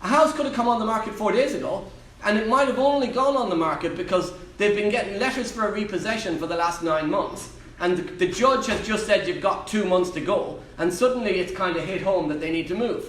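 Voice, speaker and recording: A man's voice: high voice, fairly quick, hardly any pauses, a bit bright. An Irish man answering questions at a live property seminar, emphatic and repeating his key phrases. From Ireland. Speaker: property trainer on a Q&A panel. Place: live event room. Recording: echoey room, distant mic, faint noise.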